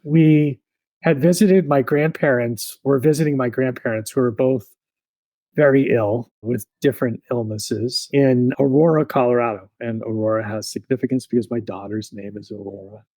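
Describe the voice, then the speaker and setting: A man in his thirties, a podcast guest, telling his story in a relaxed, reflective way with many fillers. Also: medium pitch, normal speed, no echo, poor audio.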